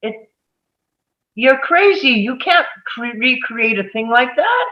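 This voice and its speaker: A woman's voice: on the high side, big pitch swings, takes it slowly. Woman as a stubborn, scornful juror, dull audio. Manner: dismissive, challenging. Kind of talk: play table read.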